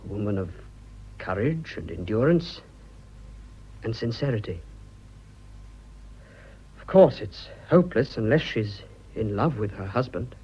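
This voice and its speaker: Radio drama: boyish British planter, young man, sincere, animated delivery, husky edge. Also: lowish voice, a little slow, long pauses, muffled recording, heavily acted. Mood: tender, wistful. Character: earnest, boyish young English colonial planter in love.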